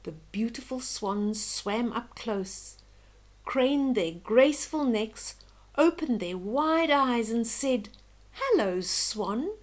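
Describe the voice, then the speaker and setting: An older woman reading a children's bedtime story aloud in a gentle, expressive, dramatic way. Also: fairly high pitch. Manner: slow, gentle, dramatic, with hushed whispery moments.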